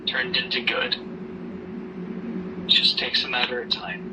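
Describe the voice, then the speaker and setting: A man playing a gentle, protective grieving father in an amateur audio drama, speaking in a halting, reassuring way. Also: mid-range voice, completely flat delivery, close to an everyday voice. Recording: noisy hobby recording, distant mic.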